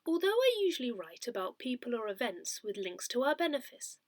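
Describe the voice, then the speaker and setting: A woman reading a scripted newsletter aloud in a measured, expressive way. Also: a little high, no room sound, studio quality. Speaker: newsletter reader.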